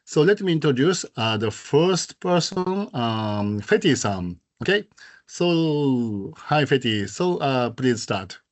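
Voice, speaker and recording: A man with a Japanese accent, a native Japanese speaker, hosting an online presentation meeting in halting speech full of fillers. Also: medium pitch, sing-song. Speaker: host.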